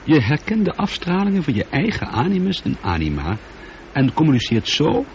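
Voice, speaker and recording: An actor the playing a lonely, prickly space traveller in a radio play, speaking emphatically and with some exasperation. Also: male voice, fairly high pitch, wildly swooping pitch, moderate pace. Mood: prickly, wry. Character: lonely, prickly male space traveller arguing with his computer. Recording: dull noisy old radio play recording.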